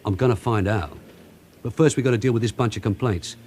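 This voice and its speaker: An actor playing a seasoned, bossy and wry middle-aged police sergeant in a radio drama, giving brisk instructions and questions. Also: male voice, lowish voice, darkish tone, slightly rough. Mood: wry, knowing. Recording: faint background noise, street and vehicle ambience.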